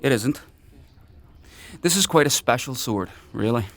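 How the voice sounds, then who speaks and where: An actor playing a menacing, paranoid military paragon in a dark fantasy audio drama, mock-friendly and needling in his drawn-out questions. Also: medium pitch, lively intonation. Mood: genial, probing.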